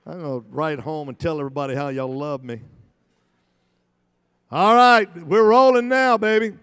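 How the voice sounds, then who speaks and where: Auctioneer guy chanting bids nonstop, kinda high clean voice, big echoey banquet hall. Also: a bit bright, smooth. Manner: rapid rhythmic bid-calling, joking banter with the crowd. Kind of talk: live charity auction chant. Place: large banquet hall at a gala. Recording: long reverb in a big hall.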